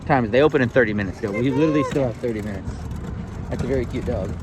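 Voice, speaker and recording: A man's voice: medium pitch, very fast, background noise. A man chatting casually as a vlogger and parent. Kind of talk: casual vlog chat.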